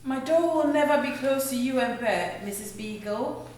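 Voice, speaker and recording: A woman's voice: high voice, moderate pace, darkish tone, close to an everyday voice. A woman playing an earnest, devoted mother and housewife in a radio play. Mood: warm, grateful. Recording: very echoey, distant from the mic.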